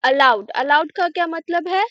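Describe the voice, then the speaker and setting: A woman's voice: very high voice, normal speed, bright, forceful tone, no echo, lo-fi sound. A young Indian woman teaching English step by step, patiently asking questions and then answering them herself. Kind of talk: slow line-by-line English lesson explained in Hindi.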